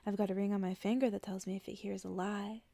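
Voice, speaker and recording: A woman voicing a weary, blunt old witch in a soft ASMR storybook reading, dry and chiding in manner. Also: medium pitch, little pitch movement, fast talker, vocal fry, a little hiss or hum, close to an everyday voice. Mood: dry, probing.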